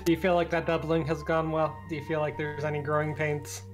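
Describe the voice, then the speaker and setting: A man's voice: a little high, little pitch movement, brisk, soft background music. A man hosting a casual chat show, putting questions to his colleagues. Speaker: show host.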